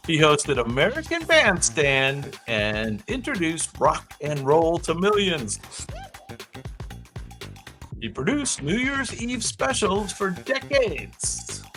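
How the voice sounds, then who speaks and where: Lively, bright-voiced man hosting trivia. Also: on the high side, slow pace, faint music behind. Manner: chatty, rambling asides between read-out facts. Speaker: live trivia show host. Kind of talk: casual live-stream trivia hosting, reading clues and chatting.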